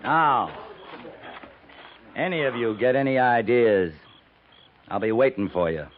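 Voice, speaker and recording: Noisy vintage radio play: man plays a principled, terse American army captain, blunt authority, highly animated delivery. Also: a little slow, natural voice. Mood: stern, measured. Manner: terse, commanding. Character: tough, guilt-ridden cavalry captain, principled and blunt. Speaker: actor. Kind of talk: 1950s radio drama acting. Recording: muffled, noisy 1950s radio recording.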